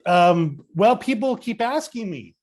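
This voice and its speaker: A man's voice: high voice, big pitch swings, a little slow, darkish tone. A man, a literary editor and critic, answering interview questions in an easygoing way with fillers and self-deprecating humor.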